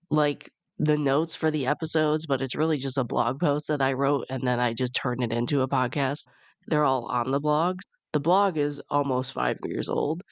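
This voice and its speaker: A woman's voice: deep voice, no room sound. A young woman hosting a casual solo podcast, chatty and upbeat, sounding congested from a cold. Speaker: podcast host.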